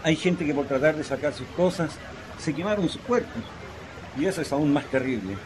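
A man's voice: fairly high pitch, moderate pace, natural voice. A man playing an earnest, poor Chilean shantytown husband in an emotional radio drama. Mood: solemn, consoling. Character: desperate poor shantytown husband, consoling and resolute. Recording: noisy, with crowd ambience behind.

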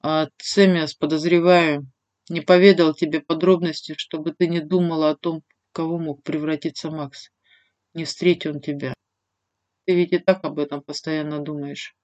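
A woman voicing an easygoing male lord in a fantasy audiobook, gentle and matter-of-fact. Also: low-pitched, flat delivery, normal speed, dry recording, dull audio, close to an everyday voice. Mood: explanatory.

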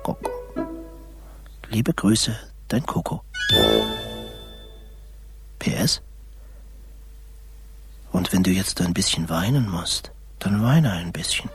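A man's voice: sits low, lively intonation, slow, pauses often. An actor playing a wistful male budgie in a children's radio play, tender and reflective, sometimes slipping toward a whisper. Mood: gentle, consoling. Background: music.

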